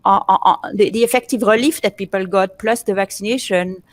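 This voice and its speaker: A woman's voice: medium pitch, fairly quick, no echo. Woman searching for words, dry sound. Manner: searching for words, frequent restarts. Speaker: panelist. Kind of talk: panel discussion answer.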